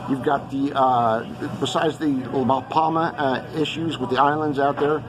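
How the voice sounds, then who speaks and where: A man's voice: medium pitch, fairly even intonation, measured pace, background noise. A man who grew up around Los Angeles gives a rambling monologue, listing one place after another with many fillers. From Los Angeles area, California. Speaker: host giving an earth-changes briefing.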